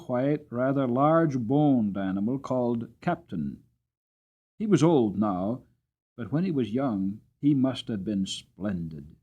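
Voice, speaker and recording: A man narrates a storybook with theatrical expression. His pitch swings widely from phrase to phrase, and the recording is a little dull and lo-fi. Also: mellow, breathy edge. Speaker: audiobook reader.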